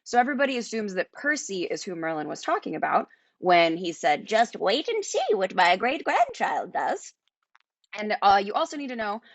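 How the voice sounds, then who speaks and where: A woman narrating a children's fantasy book aloud as its author, in an expressive storytelling style. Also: medium pitch, no echo. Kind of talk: animated children's audiobook narration.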